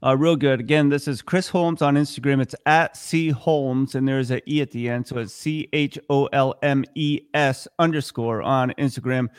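A man in his forties hosting an interview podcast, reading a measured scripted intro and then asking direct questions. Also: medium pitch, steady tone, smooth, no room sound, studio quality. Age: 40s.

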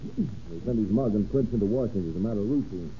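An actor playing a brisk, wry, unyielding chief of detectives in a 1930s radio drama. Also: male voice, on the low side, lively intonation, natural voice. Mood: brisk, pleased. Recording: muffled, noisy old radio recording with hum.

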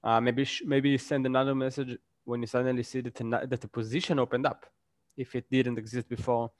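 A man speaks in a casual interview, laying out career advice through concrete examples and often ending a point with "right?". His voice sits at an ordinary pitch. The sound is dry and close, with no room around it. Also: flat delivery, brisk, dry recording. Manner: thoughtful, explains with examples and frequent "right?". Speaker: interview guest.

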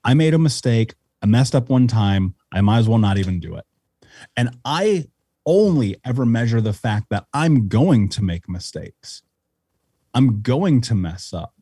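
A man's voice: medium pitch, sing-song, unhurried, warm tone, slightly rough, dry recording, studio quality. A man talking as a podcast guest in a blunt, intense and profane way, in casual conversation.

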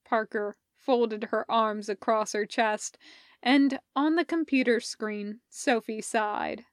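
A woman reading scripted fiction as a narrator, in a measured, deliberate storytelling manner. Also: a little high, no echo, clean studio sound. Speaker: podfic reader.

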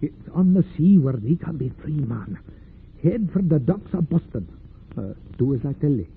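A man's voice: a little high, big pitch swings, moderate pace. An actor playing a kindly but firm middle-aged Quaker father in old-time radio drama, using plain thee-and-thou speech. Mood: earnest. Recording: muffled, noisy old radio recording.

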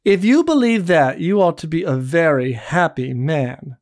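A bold, imperious young woman who mocks and commands in a raised, animated voice, as a male storyteller puts her on. The tone carries a gravelly edge. The recording is close and dry. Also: a little high, unhurried, dry recording, pitched above the performer's own voice. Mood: teasing. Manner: teasing, imperious.

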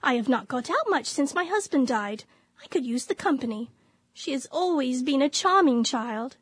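A kindly fussy victorian lady aunt, high voice, proper wordy period radio play style. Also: expressive, moderate pace, breathy edge, no echo. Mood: earnest. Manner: genteel, chatty, formal diction. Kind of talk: period radio drama acting.

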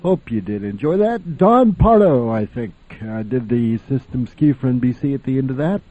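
A man's voice: mid-range voice, animated, normal speed, mellow, no echo. A man hosting an old-time radio program, casually introducing and commenting on vintage shows. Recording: thin, phone-like sound.

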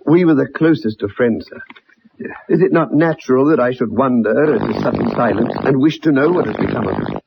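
An actor playing an earnest, persistent ex-soldier in a radio drama, polite but insistent as a troubled, loyal friend. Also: male voice, medium pitch, sing-song, brisk, vocal fry, noisy background. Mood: politely insistent. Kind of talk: 1960s radio drama acting, first-person narration. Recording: muffled old radio broadcast recording.

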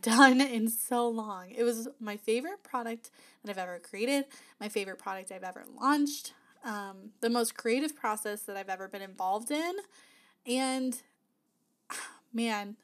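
A woman's voice: on the high side, expressive, measured pace. A woman hosting a casual solo podcast, chatty and upbeat, her voice still slightly nasal and not fully back after an illness. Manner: chatty, upbeat, frequent laughs and fillers. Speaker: podcast host.

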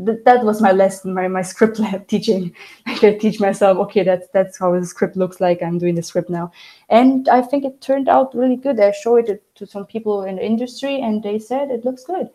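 A woman with Romanian as her first language who grew up in Germany, speaking English in an interview as a novelist, talking haltingly with frequent restarts and self-corrections. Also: mid-range voice, warm tone, low-quality recording. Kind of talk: casual interview talk.

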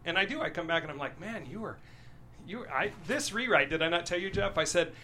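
A man with a bright, fairly high voice talks candidly as a writer being interviewed. He rambles with passion and often asks listeners to agree. There is some noise behind him. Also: animated, fast. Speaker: screenwriter, interview guest. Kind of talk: candid talk about own writing.

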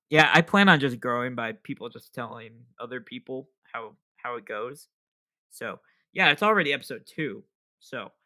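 Young male podcast host, casual rambling delivery, bright tone, self-deprecating. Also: mid-range voice. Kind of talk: casual solo podcast chat.

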